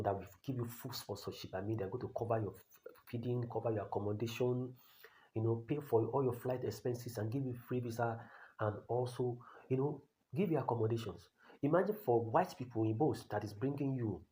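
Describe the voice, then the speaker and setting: Nigerian man, Nigerian accent, blunt lecturing coach, quick delivery, mellow dark tone. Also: lowish voice, rough recording. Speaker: online career coach. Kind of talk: talking-head tutorial.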